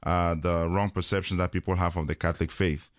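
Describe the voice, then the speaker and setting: A man from Cameroon, a parish priest chatting on a radio call-in show, talking slowly with many fillers and chuckles. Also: low voice, flat delivery, no room sound, clean studio sound. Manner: slow, hesitant, many fillers, chuckles often. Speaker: priest guest. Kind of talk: casual radio chat. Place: radio studio.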